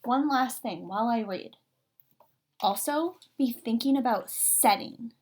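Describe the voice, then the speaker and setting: A woman's voice: on the high side, unhurried, a little mellow. A woman reading a picture book aloud like a teacher, expressively, drawing out and stressing key words. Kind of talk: expressive children's read-aloud.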